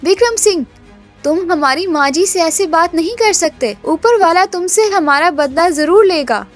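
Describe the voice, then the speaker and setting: A woman's voice: very high voice, expressive, measured pace, faint music behind. A woman voicing a caring, earnest young daughter-in-law in a cartoon. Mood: indignant. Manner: earnest, reassuring.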